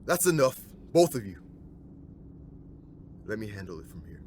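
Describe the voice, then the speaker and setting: A man's voice: fairly low pitch, very animated, normal speed, darkish tone. A man playing a cold, stern middle-aged father and agency commander in an audio drama, formal and severe. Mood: stern, angry. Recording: clear ambient noise behind voice.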